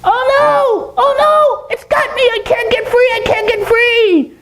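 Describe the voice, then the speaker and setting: Woman doing a shrill, squeaky little monkey. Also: fairly even intonation, a little slow, fairly bright tone. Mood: panicked. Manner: repeats short exclamations insistently. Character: frantic, greedy little male monkey, childishly possessive.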